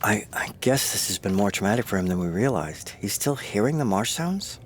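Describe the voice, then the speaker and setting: An actor playing an uneasy, apologetic field researcher in an audio drama, speaking in a halting, formal and deferential way. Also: male voice, fairly low pitch, expressive, soft background music, lightly acted. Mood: apologetic, concerned. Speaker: field researcher reporting to his boss.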